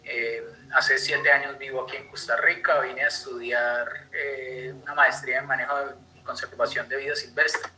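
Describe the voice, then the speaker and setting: A man's voice: medium pitch, flat delivery, moderate pace, pushed and bright, slight background noise, rough recording. A young man from Colombia with a Colombian accent, giving an online conservation talk with many fillers and restarts. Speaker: conservation speaker. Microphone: computer mic on video call.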